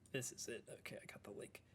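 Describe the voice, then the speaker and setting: A man streaming a game and chatting casually with viewers, his voice strained and wearing thin. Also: fairly bright tone. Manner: rambling, chatty, answering chat between plays. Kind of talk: casual live-stream gameplay commentary.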